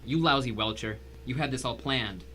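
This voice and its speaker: A desperate, bitter man in a radio thriller, played by a male actor. His mid-pitched voice is bright and forceful, with a tense, accusing edge. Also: normal speed, lightly acted, poor audio. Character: desperate, bitter man cheated in a deadly bet. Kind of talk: audio drama acting.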